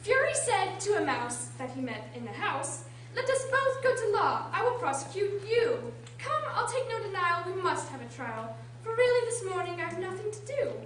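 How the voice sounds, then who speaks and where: A woman's voice: very high voice, sing-song, moderate pace, fairly bright tone, exaggerated voice. A woman playing an indignant, easily offended mouse in a radio drama, with dramatic, declamatory delivery. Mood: dramatic storytelling. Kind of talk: theatrical radio drama acting. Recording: echoey room from far off, noisy hum.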